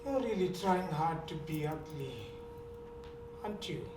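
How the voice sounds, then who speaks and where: An actor playing a dignified older servant on stage, measured and firm, with bitterness underneath. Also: mid-range voice, sing-song. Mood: bitter. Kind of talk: stage play acting. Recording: roomy stage recording from a distance, faint hiss.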